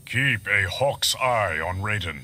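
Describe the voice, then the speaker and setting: A deep-voiced, stern warrior emperor speaks with solemn, formal distrust. It is video game dialogue, with loud game noise behind the voice. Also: male voice, animated, slow.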